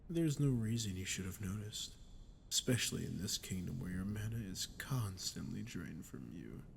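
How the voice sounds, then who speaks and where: Sadistic archdemon played by a man, low and creaky, condescending and menacing, intimate ASMR audio drama over a rumbling noisy bed. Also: expressive. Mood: musing, condescending. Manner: deliberate, condescending, taunting. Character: sadistic archdemon, smug, menacing, dominant toward a human. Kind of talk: ASMR roleplay audio acting. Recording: clear background noise with low rumble.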